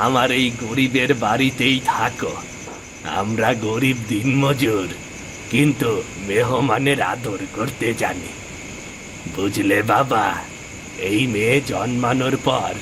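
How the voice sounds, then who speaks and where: A man playing a humble, kindly old village father, a poor labourer in a cartoon tale, with a gentle manner. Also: mid-range voice, background noise, close to an everyday voice. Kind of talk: Bengali cartoon moral-tale acting.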